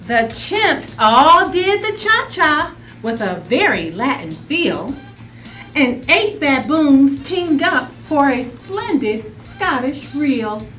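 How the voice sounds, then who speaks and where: A woman reads a children's story aloud with dramatic emphasis. Her voice is high and swoops expressively, and she takes her time over the phrases. Music plays along underneath. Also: sing-song, a bit bright. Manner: dramatic, deliberate storytelling delivery with strong emphasis.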